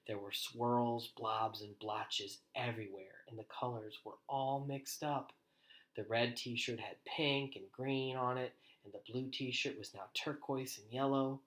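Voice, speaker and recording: A man reads a storybook aloud in an animated, deliberate way, as if for young children. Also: medium pitch. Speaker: storybook reader. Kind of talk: expressive children's read-aloud with quieter asides.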